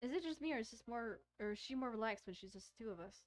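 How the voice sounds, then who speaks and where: Girl streamer w/ highish voice reading a visual novel out loud, casual commentary, stumbles on words a bit. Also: animated, fast. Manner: stumbles over words while reading, repeating phrases. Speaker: gaming streamer.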